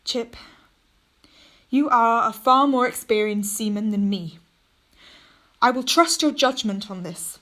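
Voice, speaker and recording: A woman voicing a measured, firm ship's captain in a radio play, narrating log entries and giving orders. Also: fairly high pitch, a bit bright. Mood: steady. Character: steady male ship's captain keeping a log. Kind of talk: amateur radio drama acting.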